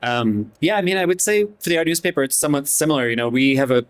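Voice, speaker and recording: A man speaking as a newspaper editor on a panel, relaxed and conversational. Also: fairly high pitch, fast. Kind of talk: panel discussion. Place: event hall panel.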